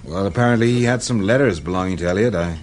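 An actor playing a polite, weary American husband in a radio drama, speaking in a measured, courteous way. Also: male voice, on the low side, darkish tone, close to an everyday voice. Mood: earnest. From United States. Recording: noisy radio drama recording with ambience.

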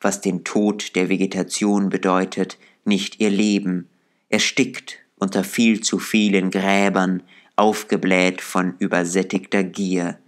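A man reading an audiobook aloud in calm, measured storytelling narration. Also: low voice. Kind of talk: scripted narration.

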